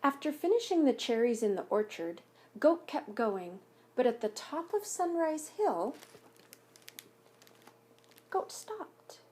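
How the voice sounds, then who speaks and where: A woman reading a picture book aloud to children like a school teacher, pausing to explain things and ask questions. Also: high voice, sing-song, mellow, husky. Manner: instructive, asks listeners questions. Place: home basement by a fire.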